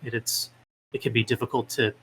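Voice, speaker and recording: A man's voice: medium pitch, flat delivery, a little fast, a bit bright, husky. A man being interviewed as a guest, talking casually with hesitant phrasing and lots of filler words. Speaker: podcast guest, independent game developer.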